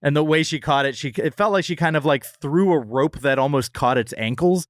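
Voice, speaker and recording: A man's voice: on the high side, a little fast, no echo, studio quality. A man co-hosting a podcast, casually reviewing things in a wry, joking way. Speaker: podcast co-host. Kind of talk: casual comedic review chat.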